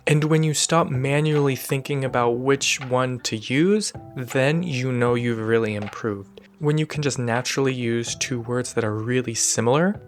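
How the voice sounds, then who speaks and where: A young man hosting a language-learning video in a scripted but casual, self-deprecating explainer style. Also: medium pitch.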